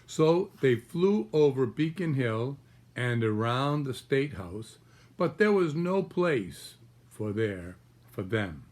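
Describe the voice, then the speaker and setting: An older man in his sixties reads a picture book aloud at a very unhurried pace. His voice sits at an average male pitch. He pauses often and talks to young listeners about the pictures as he goes. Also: sing-song, darkish tone. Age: 60s. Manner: unhurried, pausing, explaining pictures to small children. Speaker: grandfather reading aloud to grandchildren. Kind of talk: children's storybook read-aloud with asides about pictures. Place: small room at home, indoors.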